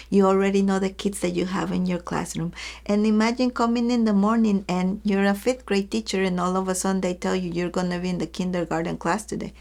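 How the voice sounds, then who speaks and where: A woman's voice: mid-range voice, gravelly, darkish tone. A woman giving an earnest teaching talk to educators, speaking to her listeners directly and acting out everyday examples. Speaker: early childhood educator.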